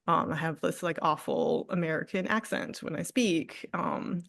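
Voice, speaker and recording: A woman's voice: on the low side, normal speed, creaky, no room sound. Reflective woman, dry close sound. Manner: reflective, many fillers and restarts, soft laughs. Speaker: artist guest. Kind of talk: candid conversational interview.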